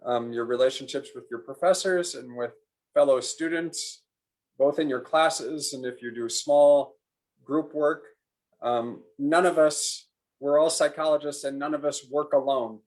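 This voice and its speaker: A man's voice: on the high side, unhurried, darkish tone. A man on a psychology webinar panel, speaking steadily with fillers.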